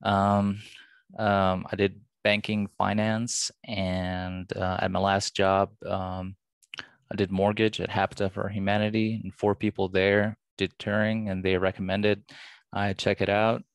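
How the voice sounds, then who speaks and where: A young man from Lebanon on a headset, giving a steady, matter-of-fact introduction from a room at home. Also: fairly low pitch, unhurried, clear, resonant tone, no echo, studio quality. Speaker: student introducing himself. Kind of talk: casual self-introduction on a video call.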